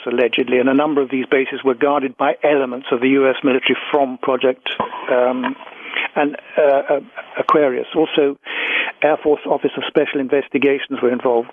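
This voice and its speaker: A British man giving a radio interview as a guest researcher, recounting accounts in a halting, careful way. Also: medium pitch, no echo. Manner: hesitant, halting, with repeats and careful hedging. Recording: thin, tinny phone line on radio.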